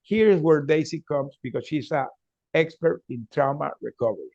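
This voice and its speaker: Gravelly male host. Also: a little high, darkish tone, no echo, muffled recording. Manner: halting, repeats words, laughs easily. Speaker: show host. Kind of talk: conversational interview.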